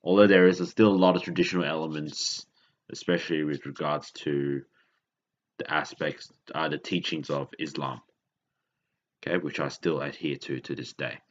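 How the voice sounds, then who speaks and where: A man from Indonesia hosts a casual podcast monologue. His voice sits extremely deep, and he talks haltingly, often stopping to correct himself. The recording sounds dull and lo-fi. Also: fairly even intonation. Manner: rambling, self-correcting, halting. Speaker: podcast host.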